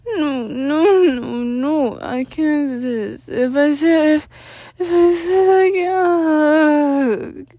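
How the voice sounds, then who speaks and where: A woozy, clingy teenage boy, played by a woman narrator, high-pitched and loud with tears. He stammers and pleads through slurred words in an amateur audio story, recorded dry and close. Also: very slow, no room sound, heavily acted. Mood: crying, pleading. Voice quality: slurred, tearful.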